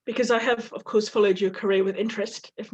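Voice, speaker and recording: Husky-voiced woman interviewer. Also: mid-range voice, fairly even intonation, a little mellow, muffled recording. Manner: hesitant, halting questions with many fillers. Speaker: interviewer, event host. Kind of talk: hosting an online talk.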